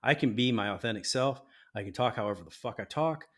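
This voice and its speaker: A man co-hosting a relaxed podcast, mid-pitched and loose with his language. He laughs often between points and slips into a sincere, reflective tone when he talks about trust and feeling safe with friends. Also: fast. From Mexican-American. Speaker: podcast co-host. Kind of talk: casual chat.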